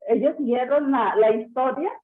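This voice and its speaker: A Mexican woman, a guest medium, recounting a personal experience slowly and haltingly, with many fillers. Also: high voice. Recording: tinny phone-like call line.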